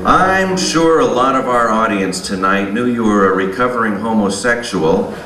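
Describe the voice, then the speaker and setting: A man's voice: fairly low pitch, lively intonation, moderate pace, music in the background, close to an everyday voice. Man playing a lilting, placating pastor host. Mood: folksy, gracious. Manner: soothing, patronizing, sing-song. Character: folksy, placating male pastor hosting puppet show. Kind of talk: theatrical mock religious show hosting. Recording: echoey live hall, distant, clear noise.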